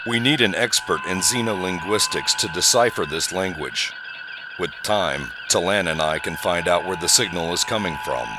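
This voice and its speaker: An actor playing a dutiful, inquisitive bridge officer in a science fiction audio drama. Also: male voice, low-pitched, moderate pace, background noise, close to an everyday voice. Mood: businesslike.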